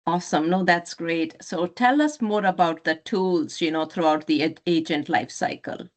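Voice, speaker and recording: Woman, very low pitch, conversational interviewer, encouraging, affirming delivery. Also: moderate pace.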